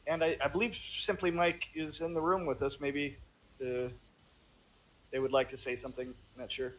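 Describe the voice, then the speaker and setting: A man in a casual online discussion, talking in halting bursts with many restarts and fillers. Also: fairly high pitch, level tone, a bit bright, rough recording. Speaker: podcast panelist.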